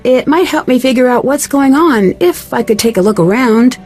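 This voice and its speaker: A woman's voice: sits high, animated, normal speed, darkish tone. An actress playing a composed, inquisitive young woman detective in a video game, with a polite, probing manner. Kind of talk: video game dialogue acting. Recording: eerie game music behind.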